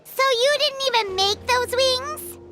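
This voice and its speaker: Squeaky tiny excitable creature companion. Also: female voice, slow pace, faint music behind, heavily acted. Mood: surprised. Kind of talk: video game voice acting.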